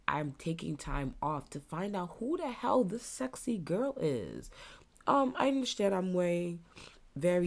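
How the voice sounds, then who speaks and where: A young woman in her twenties with a stuffy nose, hosting a casual solo podcast and thinking out loud as she rambles. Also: fairly low pitch. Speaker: podcast host.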